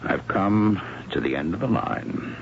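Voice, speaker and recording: An actor playing a brooding, obsessive middle-aged engineer husband in a theatrical, grandiloquent old-time radio style. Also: male voice, on the low side, lively intonation, unhurried. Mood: bitterly dramatic. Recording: muffled, hissy vintage radio recording.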